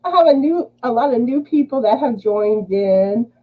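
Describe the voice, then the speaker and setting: A woman's voice: fairly high pitch, expressive, normal speed, phone-quality sound. A woman with a Southern US accent hosting a casual livestream chat, laughing often as she greets viewers by name. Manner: laughs often while reading chat names. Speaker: livestream host.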